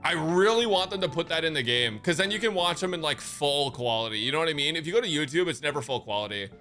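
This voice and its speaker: A man's voice: fairly high pitch, animated, fast talker, bright, forceful tone, faint music behind. A man chatting casually as a live game streamer, slangy and joking, with swearing and hyperbole. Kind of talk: casual livestream chat.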